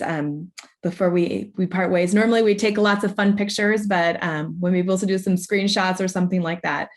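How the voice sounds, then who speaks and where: A middle-aged American woman with a chatty, conversational manner, presenting awards informally. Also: medium pitch. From Oregon, United States. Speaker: ceremony presenter, law faculty.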